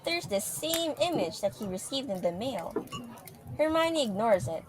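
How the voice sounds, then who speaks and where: A woman's voice: fairly high pitch, sing-song, a little slow, a little hiss or hum. A woman reading scripted, dramatic third-person narration for an audio play.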